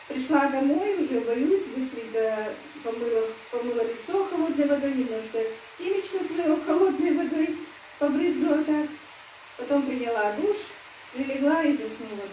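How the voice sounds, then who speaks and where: A middle-aged woman talks casually and at length to her viewers from home, in a homely, rambling manner that keeps turning to them as friends. Her voice sits a little high. The sound is roomy and picked up from some distance, with a faint hum of background noise. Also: mellow. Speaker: home vlogger. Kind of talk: casual chatty monologue to viewers. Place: rural home.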